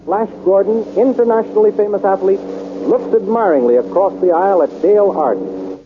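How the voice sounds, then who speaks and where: A man announcing a 1930s radio adventure serial in a crisp, dramatic manner. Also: high-pitched, moderate pace, background noise. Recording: tinny 1930s radio recording with music.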